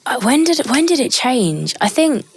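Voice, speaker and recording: A soft-spoken young woman from Britain, a poet guest reflecting in a measured, thoughtful way during an interview. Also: high-pitched, big pitch swings, husky. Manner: reflective, measured, thinks aloud. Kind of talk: conversational interview.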